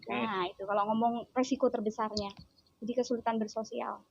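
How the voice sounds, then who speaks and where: A woman's voice: a little high, flat delivery, fairly quick, gravelly, a little mellow, muffled recording, slight background noise. A woman with a Javanese accent, a child psychologist chatting as an interview guest, telling stories animatedly and acting out her child's words. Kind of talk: casual interview chat.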